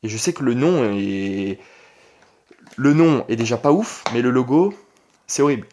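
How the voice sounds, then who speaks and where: Young adult male, medium pitch. Online creator delivering an informal, emphatic solo vlog with repeated key phrases. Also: expressive, a little slow, darkish tone, a little hiss or hum. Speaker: online entrepreneur and video creator. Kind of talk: casual vlog monologue.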